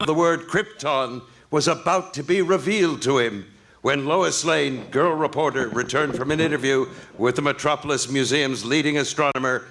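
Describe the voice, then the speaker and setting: A man's voice: medium pitch, normal speed, a bit bright, lightly acted. A man acting as a radio serial narrator, delivering scripted dramatic narration. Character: old-time radio serial narrator setting the scene.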